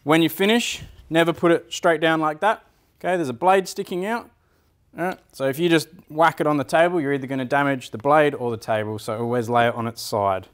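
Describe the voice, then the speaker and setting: A man's voice: on the high side, fairly quick. A man in his thirties giving an informal hands-on demonstration as a woodwork teacher, often checking in with short tag questions. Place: school woodwork workshop, indoors.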